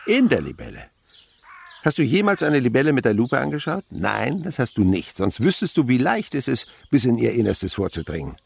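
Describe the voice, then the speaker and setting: A man's voice: mid-range voice, wildly swooping pitch, a little fast, creaky, a little hiss or hum. An actor playing a whimsical, sceptical insect researcher and father in a German radio play, with a pedantic, playful way of lecturing. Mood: playful, teasing.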